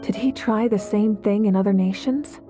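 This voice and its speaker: An actress playing an earnest, compassionate heroine in a video game, speaking in a reflective, sincere way. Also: female voice, mid-range voice, normal speed, over music, natural voice. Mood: concerned. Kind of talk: dramatic video game acting.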